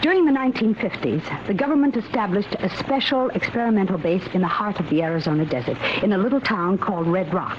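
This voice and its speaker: A confident young-world lieutenant in a noisy old radio drama. His voice is high and animated, yet matter-of-fact as he explains. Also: quick pace, hardly any pauses. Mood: earnest, explanatory. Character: composed, confident male army lieutenant of the future. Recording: noisy, muffled vintage radio recording.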